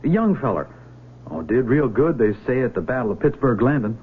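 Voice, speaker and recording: An eager young recruit. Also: male voice, mid-range voice, brisk, breathy edge. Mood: earnest. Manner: folksy drawl, excitable and stammering. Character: eager, naive young male Civil War recruit. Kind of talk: 1970s radio drama acting. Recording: tinny, hissy old radio broadcast.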